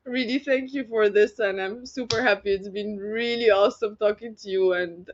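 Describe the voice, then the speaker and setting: A woman's voice: mid-range voice, fairly even intonation, normal speed, dull audio. A woman with an Egyptian accent whose first language is Arabic, a podcast guest who laughs often in a bubbly casual chat. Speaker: guest, tech founder.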